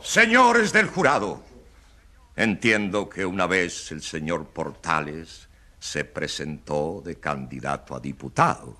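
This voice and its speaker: Scornful male populist leader, pompous, mocking, husky, swooping intonation, radio drama. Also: low voice, sing-song, slow, pushed and bright, full character voice. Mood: mocking, boastful. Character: pompous, scornful populist politician and newspaper magnate. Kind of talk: radio drama courtroom oratory.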